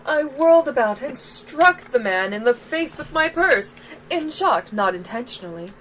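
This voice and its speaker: Woman doing a high-voiced, prim young lady. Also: animated, moderate pace, fairly bright tone, rough recording. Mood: prim, earnest. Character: polite, dramatic, naive young lady witness.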